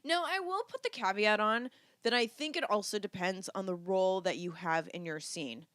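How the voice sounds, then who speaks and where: A woman's voice: medium pitch, normal speed, fairly bright tone, no echo, studio quality. A woman co-hosting a podcast, chatting casually and giving advice in a playful, teasing way. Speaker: podcast co-host, dance teacher.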